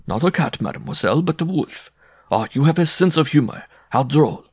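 A man's voice: a little high, animated, a little fast, slightly rough, no room sound, studio quality, pitched above the performer's own voice. A man voicing a fatherly, fretful circus owner who is conciliatory and ingratiating, as a theatrical audiobook character. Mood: fawning. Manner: conciliatory, pleading, fawning.

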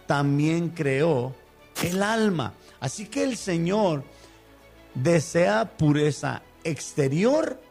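A man preaching a sermon in an earnest, measured teaching manner. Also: high voice, darkish tone.

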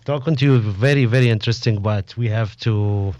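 A man interviewing casually as a radio presenter, with halting, phrasing. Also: lowish voice, a little mellow, no room sound. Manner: halting, non-native phrasing. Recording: muffled, dull, dry close recording.

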